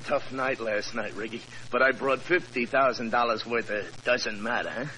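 A rough American henchman from a forties radio thriller, played by an actor, bright and hard in tone at a middling pitch. His grammar is loose and his talk slangy. The old recording carries faint noise. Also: steady tone, moderate pace, close to an everyday voice, low-quality recording. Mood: self-satisfied. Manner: slangy, ungrammatical. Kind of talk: 1940s radio crime drama acting.

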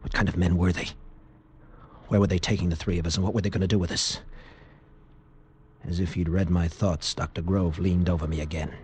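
A man's voice: low voice, flat delivery, a little fast, long pauses, a little mellow, natural voice. A man acting in a 1940s radio drama as a tough lieutenant recounting a frightening ordeal in an earnest, intense way. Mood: fearful, haunted. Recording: noisy vintage radio recording.

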